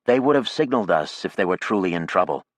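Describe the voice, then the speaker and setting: A man voicing a cautious man who speaks in a measured, level way. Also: sits low, animated, no echo. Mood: calm.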